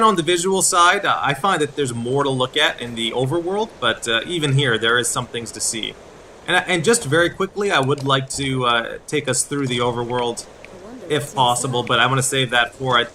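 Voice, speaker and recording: A man hosting a gaming video, explaining as he plays in casual commentary with a halting delivery. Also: medium pitch, sharp tone, a little hiss or hum.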